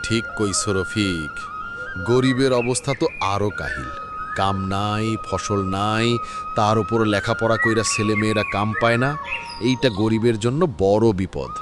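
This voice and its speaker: A man's voice: lowish voice, moderate pace, close to an everyday voice. Man as grave village man over music. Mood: grave, indignant. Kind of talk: acted cartoon dialogue.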